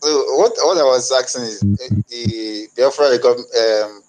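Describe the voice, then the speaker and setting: A man calling into a live audio chat room, speaking hesitantly and softly with many restarts. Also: mid-range voice, measured pace, over music, poor audio. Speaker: caller.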